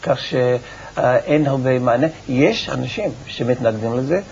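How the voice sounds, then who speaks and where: A creaky-voiced man answers as an expert guest on a TV interview. He explains in a halting, repetitive way, and the broadcast sound is dull with slight noise. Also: medium pitch, animated, a little mellow. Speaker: research institute director, interview guest. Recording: muffled, noisy TV broadcast recording.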